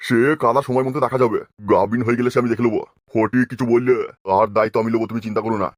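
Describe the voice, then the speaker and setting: A man's voice: mid-range voice, fairly even intonation, racing, no room sound, studio quality, close to an everyday voice. A man voicing a crude, impatient, lecherous village chief in a comic cartoon. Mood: lustful, eager.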